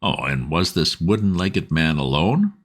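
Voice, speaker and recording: Man doing a composed gentleman detective. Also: deep voice, expressive, measured pace.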